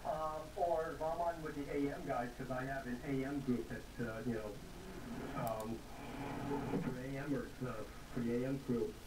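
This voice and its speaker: A man's voice: mid-range voice, steady tone. Man rambling in a noisy, echoey room. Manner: halting, rambling. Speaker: amateur radio operator. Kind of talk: casual ham radio chat. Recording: roomy, noisy ham radio contact.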